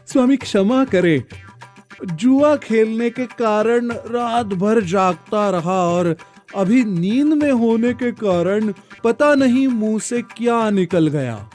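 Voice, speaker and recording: A man voicing a scheming, fawning palace servant in an animated storybook tale, with drawn-out, sleepy, pleading speech. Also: high voice, faint music behind, voice pushed up.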